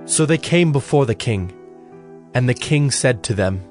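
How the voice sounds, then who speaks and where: A man reading scripted narration for a dramatized Bible in a measured storytelling manner. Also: mid-range voice, animated, darkish tone. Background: prominent music.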